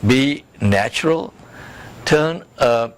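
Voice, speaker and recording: A man's voice: medium pitch, animated, slow. Male career coach, emphatic, noisy recording. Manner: emphatic, instructive, repeats points and checks with "okay". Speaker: career coach and job search expert. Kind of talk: interview-style career advice talk. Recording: clearly noisy background.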